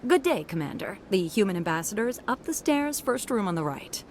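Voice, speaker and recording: A woman's voice: mid-range voice, sing-song, a little fast, darkish tone, a little hiss or hum. A woman playing a courteous, composed embassy receptionist in a video game, professional and helpful. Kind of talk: video game dialogue acting.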